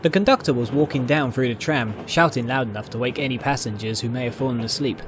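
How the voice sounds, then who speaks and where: A man narrates a dramatic story in a medium-pitched voice. The delivery is scripted storytelling, with faint vehicle and outdoor ambience laid behind it. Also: fast talker, background noise. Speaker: narrator, fan fiction reader.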